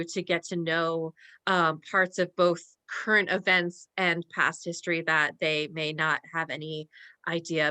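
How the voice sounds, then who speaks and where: A woman moderator giving appreciative, deliberate closing remarks at an online talkback. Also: on the low side, pushed and bright.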